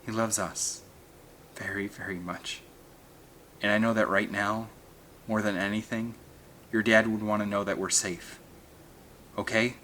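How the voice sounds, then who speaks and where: A man reading aloud voices a distraught mother who speaks softly and pleadingly. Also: lowish voice, level tone, a little slow, long pauses, slight background noise. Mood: tender. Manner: hushed and pleading. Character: distraught mother, soft and tender.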